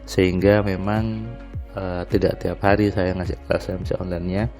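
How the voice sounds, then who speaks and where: A man recording a relaxed spoken diary on his home terrace at night, his voice a little nasal and stuffy, rambling with frequent fillers. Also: low-pitched, normal speed, faint music behind. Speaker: motivational audio diarist. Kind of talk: casual spoken diary monologue.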